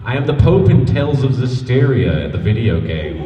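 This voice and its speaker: A man's voice: medium pitch, big pitch swings, moderate pace, lo-fi sound. A man, a voice actor joking playfully in casual banter on a live convention panel. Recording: echoey hall, distant microphone.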